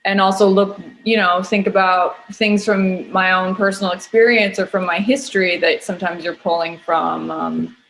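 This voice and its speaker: A woman's voice: mid-range voice, steady tone, moderate pace, few pauses, fairly bright tone, a little hiss or hum, low-quality recording. A woman actor chatting casually on a panel in a light, easygoing and playful way.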